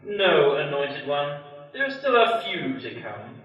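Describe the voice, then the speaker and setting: A man's voice: a little high, sing-song, unhurried, lo-fi sound. A man playing a dutiful, deferential monk of a cult in an amateur science-fiction radio play. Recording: heavily echoing, distant from the mic.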